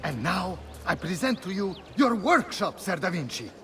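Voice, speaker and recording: A man's voice: high-pitched, very animated, moderate pace, background noise. A man playing a polished Venetian tour guide, speaking in a formal, flowery, welcoming way. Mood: showy, welcoming. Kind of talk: video game character acting, formal guided-tour speech.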